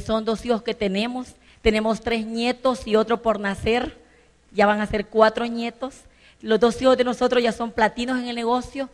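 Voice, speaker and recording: An older woman from El Salvador with a Salvadoran Spanish accent, giving an earnest personal testimony at a business event and rising to emphatic bursts. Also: on the high side. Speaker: business conference speaker. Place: large conference hall stage. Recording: long reverb of a large hall.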